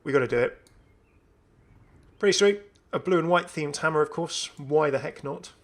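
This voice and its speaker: A man hosting a gaming video, giving casual, enthusiastic commentary in a creaky voice as he browses game items. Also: fairly high pitch, moderate pace. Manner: chatty, enthusiastic. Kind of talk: casual gameplay showcase commentary.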